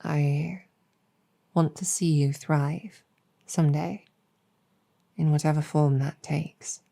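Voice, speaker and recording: A woman playing a gentle, earnest, world-weary demon general in an ASMR roleplay, speaking softly and reassuringly with measured hesitation. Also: low-pitched, fairly even intonation, vocal fry, full character voice. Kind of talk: improvised ASMR roleplay storytelling.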